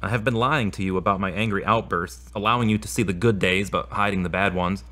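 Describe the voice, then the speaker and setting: Male actor as troubled man in therapy, audio drama, lowish pitch, earnest, confessional, guilt-ridden, self-doubting. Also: fairly even intonation, fast, pushed and bright. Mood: ashamed. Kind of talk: scripted audio drama dialogue and spoken prayer.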